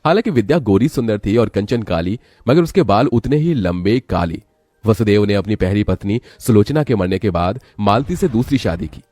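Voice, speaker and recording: A man narrates a scripted story. His voice is fairly low and mellow. The recording is dry and close, with no room sound. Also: lively intonation, moderate pace, no echo.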